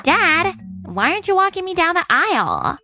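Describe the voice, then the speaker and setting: An entitled young bride acted by a woman in a manga dub. She is indignant and demanding, with a sharp, creaky voice that swings wildly in pitch over light music. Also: wildly swooping pitch, moderate pace, full character voice. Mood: indignant, pleading. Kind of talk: manga story dub acting. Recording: music under the voice.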